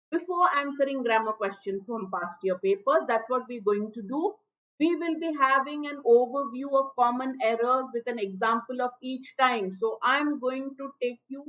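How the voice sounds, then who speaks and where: A woman's voice: sits high, fairly bright tone. A woman teaching for a law entrance exam in a friendly, chatty online lesson. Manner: friendly, chatty, with restarts and fillers. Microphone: low-level stream mic. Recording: dull, muffled livestream audio.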